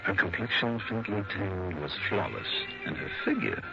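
A man's voice: low-pitched, expressive, moderate pace, vocal fry. An actor playing a wry, worldly male insurance investigator in 1960s radio drama, with clipped hard-boiled storytelling. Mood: smitten, musing. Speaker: narrator and lead actor of a detective radio series. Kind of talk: 1960s radio drama acting, first-person narration. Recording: dull vintage radio recording with music.

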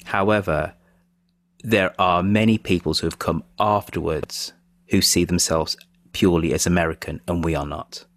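A man hosting a political podcast, speaking in a measured, thoughtful way. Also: deep voice, steady tone, a bit bright, dry recording. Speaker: podcast host.

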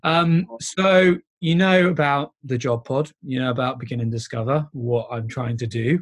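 Croaky man hosting a chat. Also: mid-range voice, fairly even intonation, normal speed. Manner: chatty, upbeat, stumbling restarts. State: slightly croaky from a cold. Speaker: podcast host. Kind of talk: casual interview.

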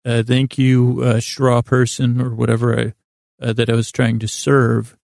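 A man's voice: medium pitch, completely flat delivery, measured pace, gravelly, darkish tone, dry recording, clean studio sound. A man hosting a podcast as a rambling bedtime monologue, meandering off on mildly silly, self-deprecating tangents. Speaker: podcast host.

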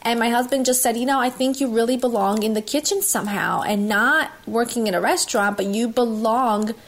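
A loud, enthusiastic woman in her thirties from Italy, talking openly as an interview guest. She speaks without holding back and sounds sure of herself. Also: fairly high pitch, fairly quick. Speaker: guest, cooking video host. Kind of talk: candid interview storytelling.

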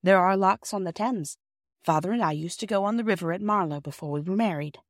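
A woman voicing a gentle, loving storybook mother with a calm, measured way of talking. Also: on the low side, dry recording, studio quality, close to an everyday voice. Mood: fond.

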